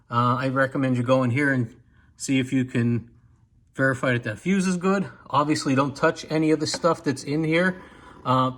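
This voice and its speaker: An American man talks through a product in an informal, unscripted review style. He explains each feature in a measured way, with a medium-pitched voice, like a hobbyist showing off a find. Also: a bit bright. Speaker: vintage radio collector presenting a video review. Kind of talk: casual unscripted product demonstration.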